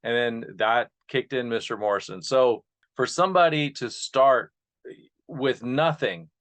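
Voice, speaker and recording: An earnest male host speaks as a narrator addressing his listeners. His voice sits at a medium pitch, and he delivers his words sincerely, as a presenter would. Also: unhurried, a bit bright. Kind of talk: informal introduction, then reading a speech aloud.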